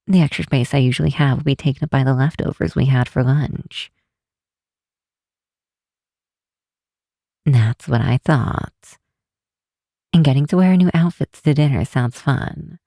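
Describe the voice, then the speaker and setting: A woman playing an affectionate, teasing vampire scientist in an intimate ASMR roleplay, speaking softly and unhurriedly to a close friend. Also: very deep voice, dry recording, exaggerated voice. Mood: playful, fond. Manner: soft, unhurried, teasing, sometimes breathy near-whisper. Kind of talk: intimate ASMR roleplay, one side of a call.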